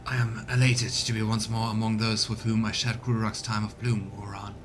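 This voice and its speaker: A man voicing a gentle, courtly alien elf seer from a game, with measured, formal, archaic speech. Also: lowish voice, little pitch movement, noisy background, natural voice. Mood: serene. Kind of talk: voiced reading of game dialogue. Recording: music behind the voice.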